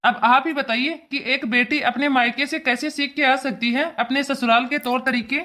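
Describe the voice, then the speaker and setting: A woman's voice: sits high, fairly even intonation, normal speed, bright, forceful tone. A woman voicing an indignant, protective father who lectures emphatically in a cartoon family drama. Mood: stern lecturing. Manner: emphatic, lecturing, repetitive scolding.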